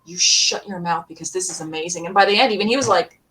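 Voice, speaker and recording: A woman chatting casually as a podcast panelist, telling animated anecdotes in an emphatic, gushing way and acting out remembered dialogue. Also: lowish voice, quick pace, over a noisy crowd, low-quality recording.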